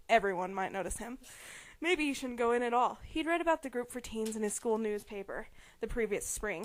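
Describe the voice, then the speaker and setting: A young woman giving an amateur dramatic reading of a novel, prone to giggling and stumbling over words. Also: fairly high pitch. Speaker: amateur reader.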